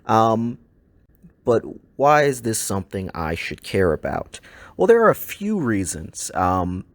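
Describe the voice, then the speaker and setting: A man with a very deep, low voice explains a recorded slide lesson, speaking English as his first language. He talks in a relaxed, easygoing way, often pausing with ums and uhs. The sound is dry and close, with no room around it. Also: dry recording. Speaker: language teacher.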